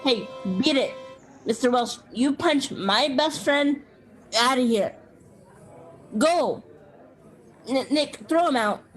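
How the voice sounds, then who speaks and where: A woman's voice: high voice, expressive, slow pace, long pauses, faint music behind. A woman playing a protective, concerned immigrant barkeeper in an amateur radio play, speaking in broken English phrasing. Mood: indignant, commanding.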